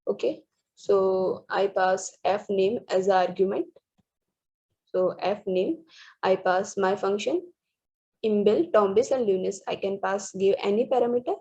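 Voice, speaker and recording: A woman walks through programming slides in a halting way, restarting words and using many fillers. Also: mid-range voice, mellow, breathy edge. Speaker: student presenter. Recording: dull lo-fi recording.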